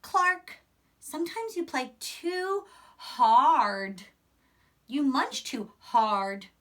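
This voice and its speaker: Woman as a high-pitched, firm storybook schoolteacher. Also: very animated, extremely slow, fairly bright tone, heavily acted. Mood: patient. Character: firm, kindly female sea-creature schoolteacher.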